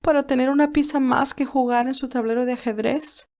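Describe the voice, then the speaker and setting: A woman's voice: sits high, steady tone, fairly quick, darkish tone, voice pushed up. A woman voicing a passionate, wounded young woman who pleads and protests defiantly in an audiobook story. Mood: anguished, pleading. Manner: pleading, emphatic questions.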